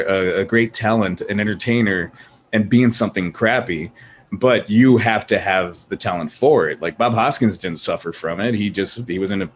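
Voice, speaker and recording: Brief: male moderator, lowish voice, faint hiss behind, loose and laughing. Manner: animated, laughing, rambling with restarts. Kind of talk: casual panel chat.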